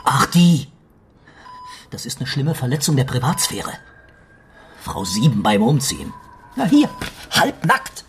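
Middle-aged male cop and dad, stern, commanding, lively intonation, radio crime play, faint noise. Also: medium pitch, unhurried, pauses often. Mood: accusing. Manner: interrogating, forceful, sarcastic. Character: stern, sceptical police detective father, middle-aged, commanding. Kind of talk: German radio crime drama acting.

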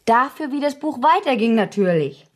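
Bickering partner for radio drama: highish husky voice, needling, curious, argumentative. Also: female voice, expressive, moderate pace. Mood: probing, curious. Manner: pointed, teasing, argumentative. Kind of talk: German radio play acting.

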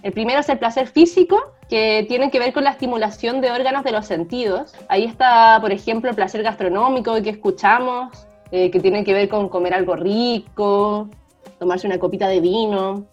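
A woman's voice: mid-range voice, moderate pace. A woman with a Chilean accent co-hosting a podcast, explaining things in a casual, chatty way. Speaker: podcast co-host. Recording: dull lo-fi audio, music underneath.

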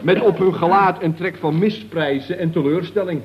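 A man's voice: high voice, lightly acted. An actor playing a cheeky young male employee telling slangy tall tales in a radio comedy. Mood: cheeky, amused. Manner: slangy, drawn-out storytelling with tag words. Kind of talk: radio comedy serial acting. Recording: dull, noisy old radio recording.

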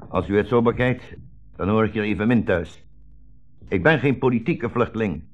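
An older man acting a dignified, earnest old rabbi in a 1960s Dutch radio play, speaking in measured, formal phrases. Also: fairly low pitch, close to an everyday voice. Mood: indignant, pleading. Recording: dull vintage 1960s radio recording.

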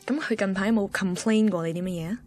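A woman's voice: medium pitch, quick pace, a little mellow, natural voice. An actress playing a blunt, teasing, know-it-all female friend in a radio drama, emphatic, with a Hong Kong Cantonese accent. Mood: knowing, warning. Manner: emphatic, mixes in English words. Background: music.

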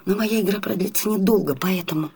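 Radio play role: bold young noblewoman in love; husky tone, determined, stage delivery. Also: mid-range voice, brisk, darkish tone. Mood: resolute. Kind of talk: theatrical Soviet radio drama acting.

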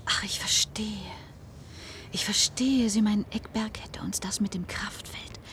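An actress playing a young institute patient in a radio drama, anxious and hesitant, with a breathy voice. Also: female voice, medium pitch, fairly even intonation, measured pace, a bit bright, in a whisper, natural voice. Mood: hesitant, uneasy. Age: young adult. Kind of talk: German radio play acting.

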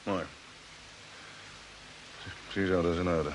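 An actor playing a determined expedition commander in an old radio drama, with a commanding, earnest manner. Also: male voice, deep voice, fairly even intonation, slow pace. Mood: businesslike, searching. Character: determined male space expedition commander on a Mars mission. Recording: old radio recording with faint hiss.

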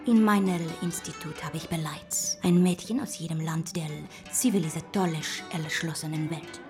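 An actor playing an oily, boastful male brothel owner with a high breathy voice, swapping r for l with affected politeness. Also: moderate pace, close to an everyday voice. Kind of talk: satirical radio play acting. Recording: music behind the voice.

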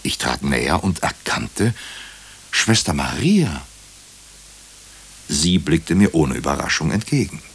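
A man's voice: very deep voice, very animated, slow, long pauses, husky, full character voice. A man acting a doctor turned amateur sleuth in a radio drama, speaking with dry irony. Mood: weary, reflective. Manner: dry, wry, ironic. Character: male doctor, wry amateur sleuth, narrating his own story. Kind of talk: radio drama dialogue and first-person narration.

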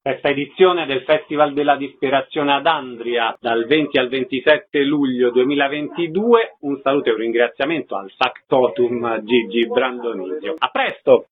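A man's voice: medium pitch, moderate pace, few pauses. A man hosting a radio interview, presenting events and putting questions to guests. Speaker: radio host interviewing festival organisers. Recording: slightly dull, lo-fi radio sound.

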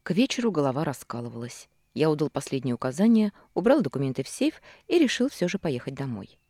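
A woman reading a first-person novel aloud as an audiobook narrator, in a measured, expressive storytelling way with dramatic pauses. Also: sits low, no room sound, studio quality. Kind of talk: first-person fiction narration from a male hero's view.

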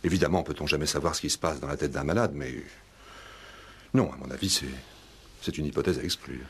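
An actor in a 1960s French radio play voicing an educated, suave gentleman, formal and guarded, with articulate deliberate speech. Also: very deep voice, husky, exaggerated voice. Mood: guarded, hesitant.

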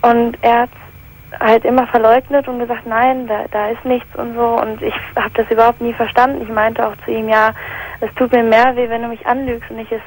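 A woman's voice: fairly high pitch, flat delivery, fairly quick, low-quality recording. A young woman in her teens from England, calling in and telling a personal story hesitantly, searching for words. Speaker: caller. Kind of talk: hesitant personal account on a call-in show.

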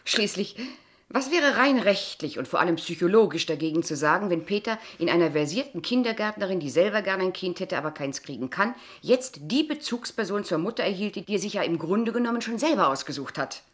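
An actress playing an earnest, overprotective kindergarten teacher in a radio drama, speaking formally, precisely and insistently. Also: female voice, mid-range voice, lively intonation, a little fast, natural voice. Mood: persuasive, worried. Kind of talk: dialogue acting in a German radio drama.

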